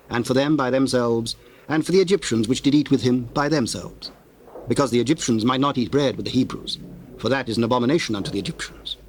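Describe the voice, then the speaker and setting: A man narrating scripture in a formal, measured storytelling manner. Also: medium pitch. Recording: noisy old cassette tape.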